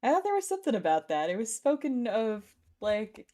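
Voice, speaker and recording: A woman's voice: a little high, sing-song, a little fast, mellow. A woman talking casually as a co-host, reacting to videos with others.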